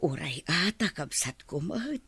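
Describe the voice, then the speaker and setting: A woman's voice: deep voice, sing-song, a little slow, a bit bright, husky, dry recording, exaggerated voice. A woman acting a nagging, fed-up village mother with an Ilocano accent in a radio comedy drama. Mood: coaxing, scheming.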